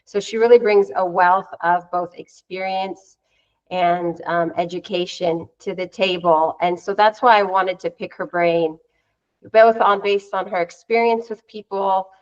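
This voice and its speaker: A woman's voice: mid-range voice, dull audio. A woman hosting an interview, introducing her guest in a conversational way. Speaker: interview host.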